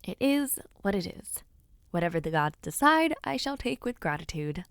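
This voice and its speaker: A woman playing a young noble debutante, sweet, playful and softly hesitant, in an intimate fantasy audio roleplay. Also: fairly low pitch, big pitch swings, normal speed, smooth, dry recording, studio quality. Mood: playful, chatty. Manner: soft, hesitant, gently teasing.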